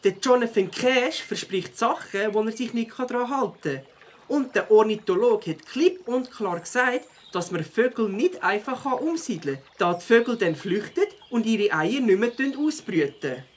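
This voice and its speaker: A woman's voice: on the high side, animated, normal speed. A woman playing a brave, reassuring young leader of a group of friends in a children's audio drama, with a Swiss accent. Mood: serious.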